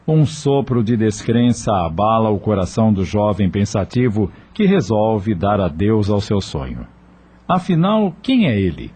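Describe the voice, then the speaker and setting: A man narrating a radio drama from a script, in an expressive, dramatic storytelling manner. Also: fairly low pitch, a little slow, husky.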